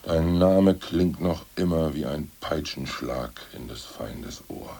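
A gravelly, brooding ousted party boss. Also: male voice, rumbling low, mellow, full character voice. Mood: solemn reciting. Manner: recites poems in a slow, solemn drone. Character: ousted aging male party official, brooding. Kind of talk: 1980s radio drama acting.

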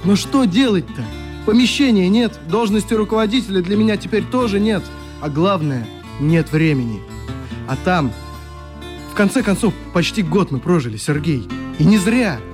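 An actor playing a sincere, heavy-hearted young youth-troop leader in a radio play. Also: male voice, sits high, lively intonation, normal speed. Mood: resigned, troubled. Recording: reverberant, echoing hall effect, faint music.

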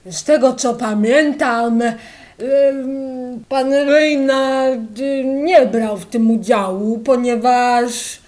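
A woman acting an elderly, subservient housekeeper in an audio drama, halting and deferential, with a gossipy streak. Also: fairly high pitch, a little mellow. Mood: hesitant recollection. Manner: halting, deferential, repeats herself.

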